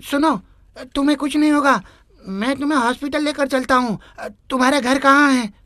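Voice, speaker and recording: A woman voicing an anxious, caring male motorist in an animated moral story. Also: high voice, normal speed, no echo, studio quality. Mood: alarmed. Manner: urgent, caring.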